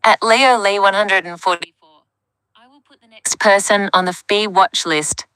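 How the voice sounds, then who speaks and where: A woman's synthetic voice reading out live chat messages in a flat, even way. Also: mid-range voice, moderate pace, clear, resonant tone, no room sound, poor audio. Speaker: text-to-speech chat reader.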